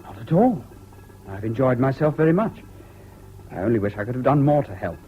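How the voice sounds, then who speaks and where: A man's voice: medium pitch, very animated, brisk, lightly acted. An actor playing a brittle, anguished English serviceman in a 1970s British radio drama. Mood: brittle cheer. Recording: dull, noisy old radio recording.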